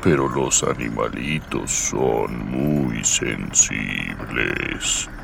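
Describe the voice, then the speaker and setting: A performer voicing an old, gnarled talking tree in a radio play, nosy and kindly, with a slow gravelly croak. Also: a bass voice, noisy background, heavily acted. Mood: gentle advice. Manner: gentle, unhurried, counselling. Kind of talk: comic radio drama acting. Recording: distant miking with music underneath.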